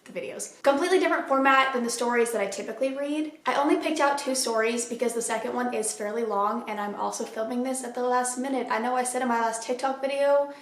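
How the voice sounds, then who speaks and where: A young woman with a raspy, partly lost voice rattles along at a fast clip, talking about her day and reading out viewer stories. She sits a little high in her register, and her words ring off the walls of an echoey room. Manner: chatty, rambling with lots of "like". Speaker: paranormal story vlogger. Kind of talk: casual chat and reading viewer stories aloud.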